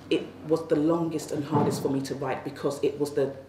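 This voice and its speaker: A woman on a festival panel, speaking thoughtfully and personally about writing, slowing on key phrases. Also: deep voice, little pitch movement, noisy background. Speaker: panelist, novelist. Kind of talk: reflective panel talk. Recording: echoey room, mic at a distance, faint ambience.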